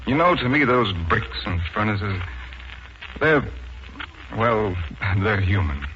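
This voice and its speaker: Noisy dull old radio recording, young gentleman, lively intonation, earnest, gentle. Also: fairly low pitch, slow. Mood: gentle, kindly. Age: young adult. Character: earnest, kindly young mill owner's son. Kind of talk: 1940s radio acting.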